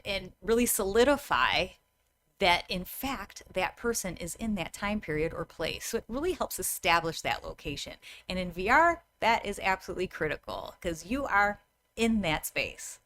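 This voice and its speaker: A woman's voice: mid-range voice, sharp tone, a little hiss or hum. A woman in her thirties giving a tutorial to camera in a conversational, explanatory manner. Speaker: host, VR game designer.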